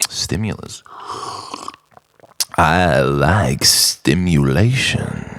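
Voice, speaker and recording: A man delivers a spoken-word monologue slowly and theatrically, as if thinking aloud. His voice is lowish with a gravelly fry and swings widely in pitch. The manner is brooding and eccentric. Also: fairly bright tone, no room sound, clean studio sound. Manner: dramatic, brooding, swinging between murmurs and eccentric outbursts. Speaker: writer-performer of a personal monologue.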